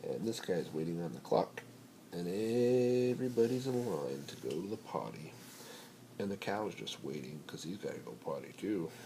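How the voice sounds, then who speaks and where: A man reading a children's picture book aloud in an expressive, playful, rhythmic way with dramatic pauses. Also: sits low. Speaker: bedtime story reader. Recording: noisy amateur home recording.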